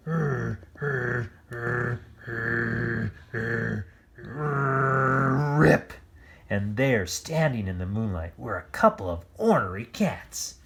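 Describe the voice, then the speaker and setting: Read-aloud host for kids: unhurried, highly expressive, playful, chatty asides. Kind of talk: animated children's picture-book reading with chatty asides.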